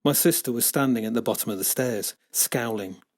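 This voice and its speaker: A man reading a children's story as scripted narration, expressive and dramatic in his delivery. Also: mid-range voice, a little fast. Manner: expressive, dramatic character shifts. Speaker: author reading own book.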